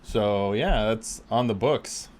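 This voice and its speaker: A man's voice: on the low side, slow. An American man co-hosting a podcast in casual conversation, agreeable and informal, responding readily to his partner. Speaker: podcast co-host.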